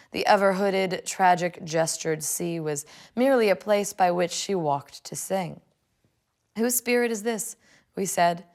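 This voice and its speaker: Woman, twenties, American accent, gravelly creak, deliberate phrasing, reflective speech and verse. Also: fairly low pitch. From United States, Illinois. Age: 20s. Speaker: law student reading a poem. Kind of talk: personal reflection and poetry reading. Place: indoors, furnished living room.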